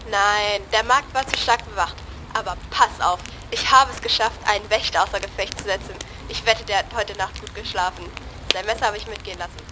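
A woman's voice: medium pitch, brisk, lightly acted. Woman playing sly thief on noisy recording. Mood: boastful. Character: bold, sly young female escapee and thief. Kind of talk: amateur audio drama acting.

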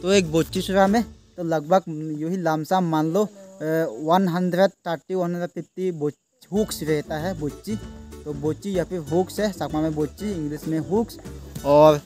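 A man's voice: a little high, steady tone, normal speed, a little mellow, soft background music. A young man with a northeast Indian accent gives casual, rambling vlog commentary, explaining things to viewers and repeating himself, recorded outdoors on a phone. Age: young adult. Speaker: village fishing vlogger. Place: outdoors on a small wooden boat on a river. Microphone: phone at arm's length.

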